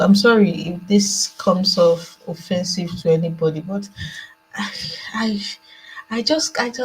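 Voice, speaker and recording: A Nigerian woman with a lowish, husky voice shares her views as a guest on a discussion call. She speaks conversationally and thoughtfully, circling back and repeating herself as she searches for words. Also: darkish tone, low-quality recording. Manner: hesitant, reflective, repeats phrases for emphasis. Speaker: remote guest on a panel discussion. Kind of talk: unscripted opinion, conversational. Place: indoors at a laptop on a remote online call.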